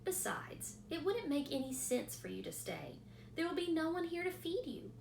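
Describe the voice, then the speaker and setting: A woman voicing a calm, wise, dying female spider who speaks softly and firmly, in an echoey room. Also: high voice, moderate pace, gravelly, a little hiss or hum, pitched above the performer's own voice, full character voice. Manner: quiet, soft, firm.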